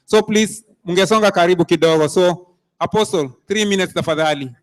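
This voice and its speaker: A man hosts the evening's program in a smooth, resonant voice. It sits a little higher than most men's. He stops often on "uh" as he lines up the next speakers. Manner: hesitant, filling pauses with uh. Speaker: master of ceremonies. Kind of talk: event announcements.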